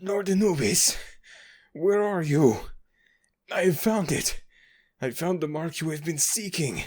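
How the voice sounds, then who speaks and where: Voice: medium pitch, lively intonation, unhurried, darkish tone. An actor voicing a nervous young male servant who speaks deferentially and stammers slightly, in an ASMR audio roleplay. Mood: urgent.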